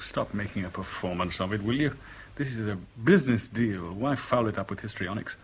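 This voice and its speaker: Terse Englishman, English accent, serious, husky edge, clipped delivery, 1970s radio play. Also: lowish voice, a little fast, poor audio. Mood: bitter, exasperated. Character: respectable Englishman, terse, resolute, embittered by love. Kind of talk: 1970s British radio drama acting and first-person narration.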